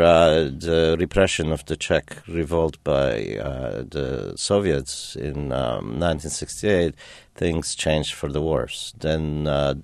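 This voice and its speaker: A man from Romania whose first language was German, a radio guest talking in a reflective, halting way with many fillers. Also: rumbling low, creaky, darkish tone, dry recording. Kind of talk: call-in radio interview.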